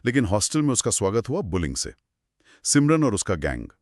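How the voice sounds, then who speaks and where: Low-voiced guy telling scary stories, really lively pitch, short suspenseful phrases, dry sound. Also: a little fast, dry recording. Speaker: host narrating horror stories. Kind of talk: conversational horror storytelling.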